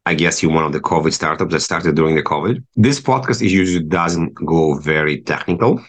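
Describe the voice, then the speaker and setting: A man's voice: rumbling low, animated, brisk. A man hosting an interview podcast and asking questions in careful, formal phrasing. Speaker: podcast host. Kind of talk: interview host.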